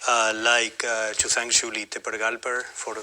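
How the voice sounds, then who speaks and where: A man from Argentina with an Argentine Spanish accent, giving a spontaneous academic lecture in a halting, discursive way with frequent self-corrections. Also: medium pitch, monotone, bright, forceful tone, slight background noise. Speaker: guest lecturer, academic historian.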